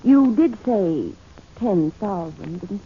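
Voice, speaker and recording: A woman's voice: mid-range voice, animated, slow pace, husky, full character voice. An actress playing a sly, coolly confident female blackmailer with coy, insinuating delivery, in 1940s radio drama style. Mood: coolly demanding. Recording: muffled old 1940s radio recording.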